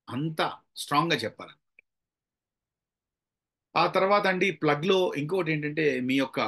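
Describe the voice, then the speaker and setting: A man with a slightly high voice, the kind of trainer heard in an online speaking class, leaning hard on key words and dropping English into his explanations. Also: bright, forceful tone, husky. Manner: emphatic, stresses key words with demonstrations; mixes English terms and phrases into Telugu. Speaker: public speaking and voice modulation trainer. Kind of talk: interactive online class teaching.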